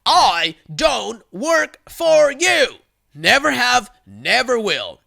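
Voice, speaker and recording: A man's voice: extremely high pitch, big pitch swings, extremely slow, creaky, pushed and bright, voice pushed up, full character voice. A man voicing a defiant, sarcastic store manager, smug and emphatic, in a narrated online story.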